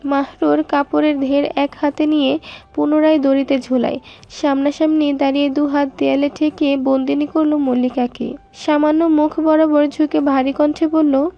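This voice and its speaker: High-pitched woman narrating a story. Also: flat delivery, moderate pace, vocal fry, highs cut off.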